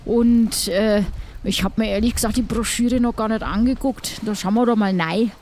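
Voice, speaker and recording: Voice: mid-range voice, steady tone, moderate pace, darkish tone, slight background noise, close to an everyday voice. A performer playing a helpful, chatty ship's medic in improvised audio role-play, rambling colloquially. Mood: casual, helpful.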